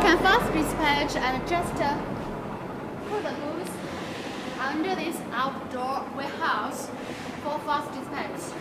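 A young woman guiding viewers through a factory floor and warehouse, explaining each step and pointing things out. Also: high voice. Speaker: factory tour guide. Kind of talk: guided factory walkthrough. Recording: echoey factory hall with loud background noise.

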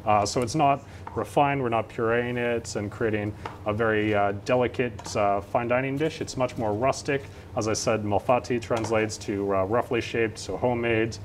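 A man's voice: mid-range voice, steady tone, moderate pace, a little hiss or hum. A young Canadian man, a student chef, explaining a dish during a live cooking demonstration with many "uh" fillers. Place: large teaching kitchen studio.

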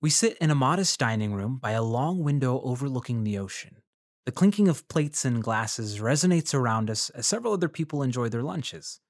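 A man playing a polite, curious freelance journalist in a scripted audio drama, telling his story in a measured way. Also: mid-range voice, lively intonation, no echo, clean studio sound, close to an everyday voice. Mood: measured narration. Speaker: narrator and interviewer. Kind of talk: scripted first-person audio drama narration.

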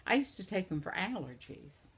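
Older woman, home vlogger, slow delivery, lowish gravelly voice, chatty and sympathetic. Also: very animated, slight background noise. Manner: chatty, sympathetic, reacts aloud. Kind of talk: casual chat reading viewer comments. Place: living room at home, seated in armchair.

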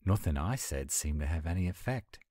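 A man playing a gruff, weary but kind werewolf pack leader, measured and plain-spoken, in an audio roleplay. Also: low-pitched, expressive, warm tone, no room sound, studio quality. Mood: earnest.

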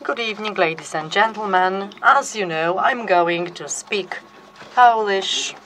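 A woman's voice: medium pitch, a bit bright, a little hiss or hum, rough recording. Woman interpreting a conference talk live. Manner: measured, with restarts and fillers. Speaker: simultaneous interpreter.

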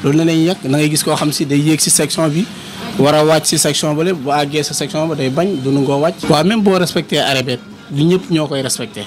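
A man's voice: on the high side, fairly even intonation, fast, soft background music. A young man who works on the buses, explaining his job earnestly in a street interview inside a bus, speaking into a handheld reporter mic. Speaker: bus worker. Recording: bus noise behind.

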